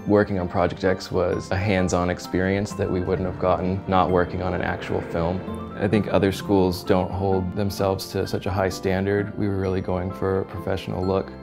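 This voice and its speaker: A man's voice: low-pitched, gravelly. A young man, an animation student, giving an earnest, reflective interview testimonial for a documentary. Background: music.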